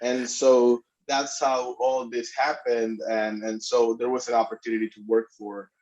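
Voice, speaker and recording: Man, halting, dull audio. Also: mid-range voice, little pitch movement, a little mellow. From Puerto Rico. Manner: halting, many restarts and fillers. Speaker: immigration attorney and guest. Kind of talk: conversational interview. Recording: dull lo-fi sound.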